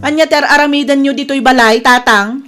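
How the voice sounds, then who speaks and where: A grown Ilocano daughter in a comedy radio drama voiced by a woman. Her voice is distinctly high. She is curt and dismissive, with a resentful, self-justifying edge. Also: steady tone, brisk, music in the background, natural voice.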